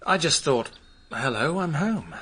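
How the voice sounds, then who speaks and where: A man playing a muddled, plainspoken witness in a radio drama, recalling things in a vague, halting way. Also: a little high, animated, pushed and bright, slightly rough, heavily acted. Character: muddled, unhurried boozy male witness, plainspoken.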